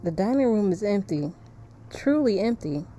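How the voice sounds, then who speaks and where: A woman with a soft-edged, mellow voice streams a narrative game. She moves between casual commentary and reading the text aloud, at a middling pitch for a woman. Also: animated, normal speed. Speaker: streamer playing a visual novel.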